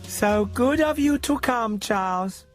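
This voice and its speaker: A man voicing a prim, snappish old aunt in a radio story, with a thin falsetto and a genteel, fussy, precise manner. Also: expressive, slow pace, soft background music. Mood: coyly pleased. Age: older.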